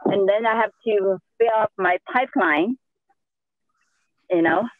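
A woman's voice: medium pitch, measured pace, no echo. A woman with a Sichuan Chinese accent, a native Sichuanese speaker, giving emphatic motivational advice as a real estate agent on a group call. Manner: emphatic, self-affirming. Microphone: video call. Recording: narrow-band phone or video call audio.

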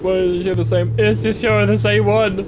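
A man's voice: high-pitched, flat delivery, quick pace, noisy background. A young man in his twenties streaming a horror game on a headset mic, reading the screen aloud, joking and yelping at the scares. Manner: jokey, reads on-screen text aloud, laughs and yelps at scares. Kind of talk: horror game let's play commentary. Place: small dark room indoors.

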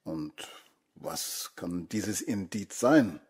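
An actor playing a practical, doubting junior police detective in a crime radio drama, questioning and down-to-earth. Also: male voice, deep voice, expressive, extremely slow, a little mellow, heavily acted.

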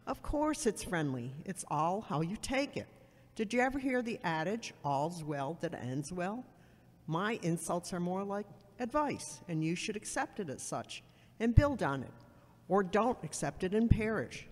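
A woman's voice: lowish voice, sing-song, measured pace. A woman acting a blunt, haughty woman who gives sardonic advice in an amateur audio drama. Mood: scornful. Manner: brusque, sardonic, superior. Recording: echoey hall with long reverb.

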